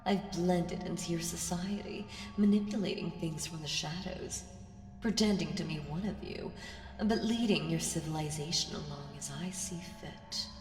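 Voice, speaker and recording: Woman playing ancient female evil, lowish pitch, teasing, seductive, condescending, whispery turns, ASMR roleplay, music clearly behind. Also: a little mellow, lightly acted. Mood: conspiratorial, tempting. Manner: slow, teasing, condescending, breaking into breathy whispers. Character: ancient evil female entity, smug, seductive, toying with mortals. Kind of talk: ASMR audio roleplay monologue. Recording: echoey hall reverb, distant, music behind.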